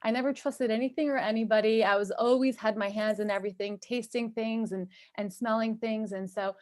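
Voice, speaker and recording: A woman's voice: on the high side, steady tone, brisk, darkish tone, no room sound. Middle-aged woman guest, Turkish-speaking New Yorker, chatty and upbeat, close dry mic. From New York, USA. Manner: chatty, upbeat, many ums and likes. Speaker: guest, cosmetic chemist and entrepreneur. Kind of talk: casual interview storytelling.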